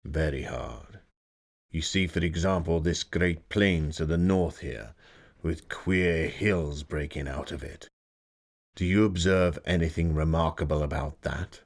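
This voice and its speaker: An actor playing a sinister, slyly menacing Victorian villain in a dramatised mystery, speaking in an ominous, insinuating way with a husky, gravelly voice. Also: male voice, rumbling low, unhurried, heavily acted.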